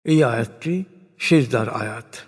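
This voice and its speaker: A man reading translated scripture as a solemn, scripted recitation, in measured, deliberate phrases with pauses. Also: mid-range voice, breathy edge. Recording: long hall-like reverb.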